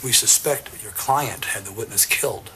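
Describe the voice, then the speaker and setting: A man's voice: fairly low pitch, normal speed, sharp tone, slight background noise, rough recording. A man playing a dogged, confrontational police detective in an audio drama, blunt and pressing. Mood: accusing. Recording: music under the voice.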